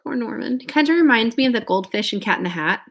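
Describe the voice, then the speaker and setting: A woman's voice: a little high, expressive, fast talker. A woman reading a picture book aloud expressively, with chatty, casual asides to her listeners. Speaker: read-aloud storyteller.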